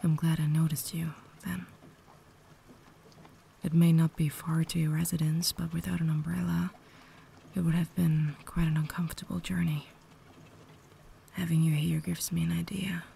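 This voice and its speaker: Female actor as refined, caring vampire lady, ASMR roleplay. Low, soft whisper, gentle formal phrasing, noticeable ambience behind. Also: little pitch movement, normal speed, gravelly, pauses often. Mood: caring, gracious. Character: cultured, caring vampire lady, secretly holding back. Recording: noticeable background ambience noise.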